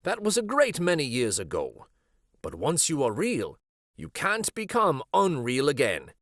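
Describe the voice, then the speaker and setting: A man's voice: a little high, huge pitch swings, a little slow, fairly bright tone, no echo, clean studio sound, pitched above the performer's own voice, full character voice. A man voicing an old, shabby, wise toy horse in a storybook, explaining things patiently and truthfully. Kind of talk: storybook character voice.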